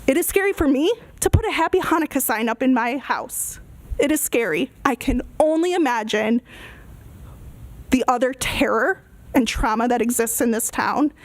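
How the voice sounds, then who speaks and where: A woman speaking earnestly and emphatically at a public meeting, in short repeated phrases. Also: high voice. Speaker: resident giving public comment.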